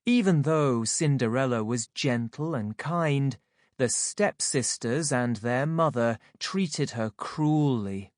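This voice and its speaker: A woman narrating a children's audiobook from a script, in a measured, expressive, clearly phrased way. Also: very deep voice, no room sound, clean studio sound.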